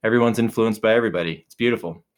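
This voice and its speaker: A man co-hosting a podcast, chatting casually in a relaxed, rambling way with many fillers and restarts. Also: fairly low pitch, racing. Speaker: podcast co-host. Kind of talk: casual chat.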